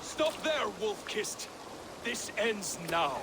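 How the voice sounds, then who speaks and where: A proud, resentful Viking warrior in a video game, pitched very high for a male voice and speaking slowly. The delivery is formal and defiant, declaimed over clearly noisy ambience. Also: very animated, heavily acted. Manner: declamatory, archaic formal speech. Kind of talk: dramatic video game acting.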